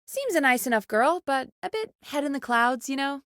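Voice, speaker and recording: A woman playing a bossy but caring, exasperated radio show producer in a video game, brisk and expressive. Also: high voice, dry recording, studio quality. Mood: chatty.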